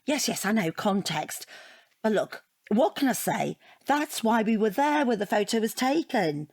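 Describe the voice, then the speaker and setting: A woman's voice: medium pitch, big pitch swings, a little slow, darkish tone, no echo, clean studio sound. An actress playing a rambling, earnest, self-deprecating divorced woman in a naturalistic audio drama. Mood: flustered, wistful. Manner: rambling, earnest, easily flustered. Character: chatty, self-deprecating divorced woman taking an art appreciation class.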